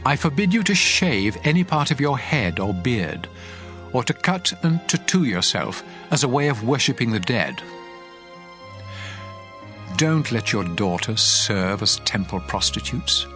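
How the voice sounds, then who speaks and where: A man's voice: medium pitch, very animated, a little slow, sharp tone. A man playing God as a stern lawgiver, solemn and commanding in a dramatized scripture reading. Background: music.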